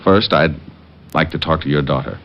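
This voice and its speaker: An actor playing a tough, wry, determined private detective in old-time radio style, with slangy wisecracking delivery. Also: male voice, extremely low pitch, normal speed. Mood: grimly resolved. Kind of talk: 1940s-50s radio detective acting. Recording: muffled lo-fi old radio recording.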